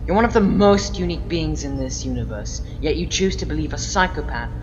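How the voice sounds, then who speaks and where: A woman's voice: low-pitched, expressive, a little fast, a little mellow. Woman acting an indignant sci-fi heroine, reverberant. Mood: stern, scornful. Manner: accusing, dramatic, emphatic. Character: indignant, righteous female Time Lord hero confronting a villain. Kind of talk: fan audio drama acting. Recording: dull, noisy recording with long reverb.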